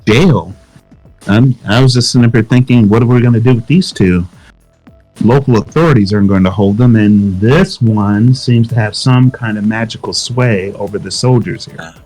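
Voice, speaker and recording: A man's voice: on the low side, normal speed, mellow, faint music behind, rough recording. A man playing a tabletop game, speaking skeptically in character. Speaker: player. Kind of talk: casual tabletop roleplay.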